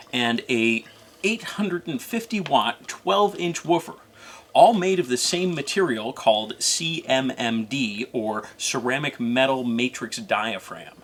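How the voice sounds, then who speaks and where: A man's voice: mid-range voice, a bit bright, slight background noise. A man narrating a scripted, informational product review as co-host.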